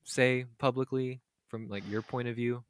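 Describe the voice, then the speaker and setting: A man co-hosting a podcast in casual conversation, speaking earnestly and haltingly and often repeating his words. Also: mid-range voice, fairly even intonation, smooth, dry recording, clean studio sound. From United States. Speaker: podcast co-host.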